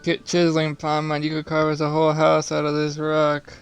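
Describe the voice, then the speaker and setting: Young man with creaky, casual gamer talk. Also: fairly high pitch, completely flat delivery, fairly quick, soft background music. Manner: slangy, offhand, profane. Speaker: gamer playing on camera or stream. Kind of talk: casual gameplay commentary.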